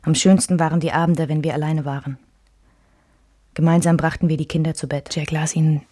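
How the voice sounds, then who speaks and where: Subdued, softly dark-voiced wistful woman. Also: low-pitched, slightly rough, no room sound, studio quality. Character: wistful woman recalling a past love triangle. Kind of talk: intimate narration in a literary radio play.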